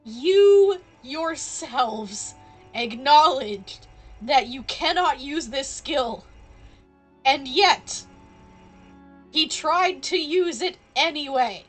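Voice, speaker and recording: A woman live streamer giving playful, deliberate, mock-solemn commentary over a video game. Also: high voice, lively intonation, faint music behind, rough recording.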